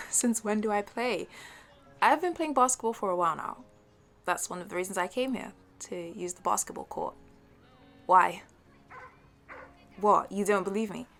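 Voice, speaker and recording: A woman acts out a sporty young woman in an intimate one-sided audio roleplay. She talks to a childhood best friend in a playful, teasing way, competitive but a little halting. Her pitch sits in the middle range for a woman. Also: gravelly, fairly bright tone, faint music behind. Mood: playful, challenging. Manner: playful, teasing, with hesitant halting starts and giggles.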